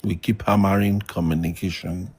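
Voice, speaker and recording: A middle-aged man, a guest elder giving measured marriage advice over a distant remote call line. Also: low voice, flat delivery, husky. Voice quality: loud voice. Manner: slow, measured advice with pauses.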